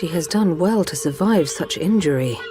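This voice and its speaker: A composed doctor of a machine people, acted by a woman, explains with clinical precision and compassion. The formal, measured game performance sits over loud music. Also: fairly low pitch, expressive, natural voice. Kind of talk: scripted video game drama acting. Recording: game audio with music bed.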